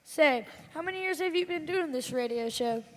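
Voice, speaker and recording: A woman's voice: sits high, animated, moderate pace. A woman playing a curious, goofy, boyish sidekick in a stage radio comedy. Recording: reverberant hall.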